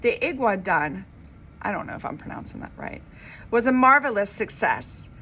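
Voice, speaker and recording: A woman reading a children's storybook aloud like a teacher, with an expressive, emphatic delivery. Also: medium pitch, moderate pace. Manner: dramatic, emphatic delivery with informal asides. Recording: clearly noisy homemade recording.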